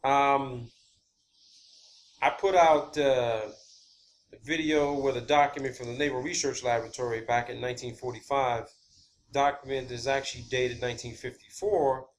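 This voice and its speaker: A man hosting a video, giving a rambling, unscripted explanation of his own theories in a halting, emphatic, blunt and casual way, repeating key words. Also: mid-range voice. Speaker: video channel host. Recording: clear background ambient noise.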